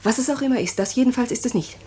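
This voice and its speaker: An actress playing an anxious, determined wife in a radio drama, insistent and sometimes whispering. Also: female voice, on the high side, racing, mellow, slightly rough. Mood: tense.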